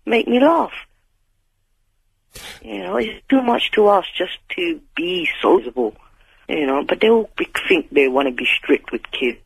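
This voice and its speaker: A man's voice: high-pitched, lively intonation, a little slow, vocal fry, husky, no room sound. A fifteen-year-old male caller with a London accent, talking candidly in emphatic slang and repeating his phrases, on a radio phone-in. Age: 15, teenager. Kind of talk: candid informal conversation. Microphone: telephone. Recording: phone call on talk radio.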